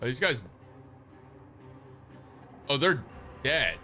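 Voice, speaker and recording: Sarcastic male streamer, very lively intonation. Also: medium pitch, extremely slow. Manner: sarcastic, slangy, sweary. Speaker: game streamer. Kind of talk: casual live game reaction commentary. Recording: live stream with game music behind. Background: game music.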